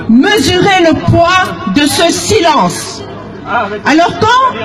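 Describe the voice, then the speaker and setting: A woman from the Democratic Republic of the Congo giving an impassioned public speech as an activist, shouting her words slowly and emphatically. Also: sits high, poor audio. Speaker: activist speaker. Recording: crowd and music audible behind. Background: crowd noise and music.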